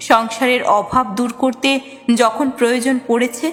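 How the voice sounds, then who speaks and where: A woman's voice: a little high, little pitch movement, moderate pace, fairly bright tone. A woman reading a scripted, reflective personal story. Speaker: story narrator. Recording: long reverb, music under voice. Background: music.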